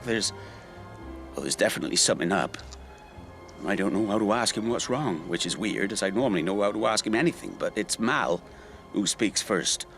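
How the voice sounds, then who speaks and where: Low-voiced, reflective rural man. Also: fairly bright tone. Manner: halting, reflective, conversational storytelling. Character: rueful rural man recalling an old friend's tragedy. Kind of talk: naturalistic radio drama monologue.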